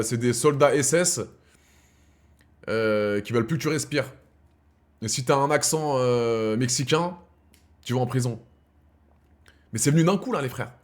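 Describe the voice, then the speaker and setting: A man in his thirties chatting casually to his live-stream viewers, slangy and rambling, calling them his brothers. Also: medium pitch. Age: 30s. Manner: swings from heated rants to quiet musing. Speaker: streamer host.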